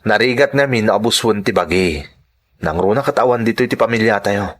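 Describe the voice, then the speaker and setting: A man's voice: on the low side, a little fast, lightly acted. A man with a Filipino accent acting a concerned friend and fellow migrant worker in an audio drama, earnest and solicitous. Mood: caring, advising. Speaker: drama actor.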